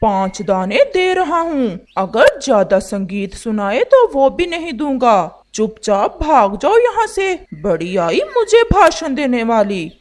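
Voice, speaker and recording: A woman's voice: a little high, big pitch swings, slow, hardly any pauses. A woman voicing an arrogant, rich old male crow who sneers at the poor and boasts he never ages. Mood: scornful. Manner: scornful, brags about wealth, denies getting old. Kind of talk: Hindi cartoon voice acting.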